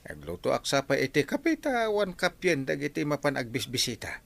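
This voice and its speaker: Lively Ilocano village man, played by an actor. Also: on the high side, moderate pace, gravelly, full character voice. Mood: caring, chatty. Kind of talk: comedy drama acting.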